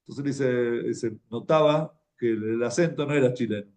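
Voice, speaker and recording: Mellow-voiced Argentine man telling stories. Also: mid-range voice. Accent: Argentine Spanish. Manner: halting, conversational, repeats words as he goes. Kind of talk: informal sermon, anecdotal storytelling.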